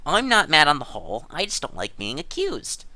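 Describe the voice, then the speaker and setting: A man's voice: fairly high pitch, huge pitch swings, moderate pace, bright, forceful tone, no room sound, full character voice. A man playing an aggrieved, defiant gamer in a theatrical, emphatic dramatic reading of online drama. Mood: defensive. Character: aggrieved, defiant gamer indignantly denying theft.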